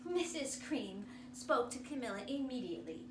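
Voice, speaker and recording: High, animated woman reading aloud, roomy sound. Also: normal speed. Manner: theatrical, animated, emphatic. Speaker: drama teacher. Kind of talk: expressive children's story read-aloud. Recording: roomy, distant mic, noisy background.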